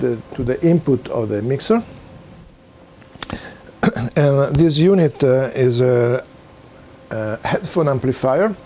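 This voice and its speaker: Slow, halting older man, Italian accent. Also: on the high side, dark tone. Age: 60s. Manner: halting, hesitant non-native English delivery. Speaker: presenter demonstrating homemade audio equipment. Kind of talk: unscripted equipment demonstration. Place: small cluttered home office, indoors. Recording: faint background noise, room ambience.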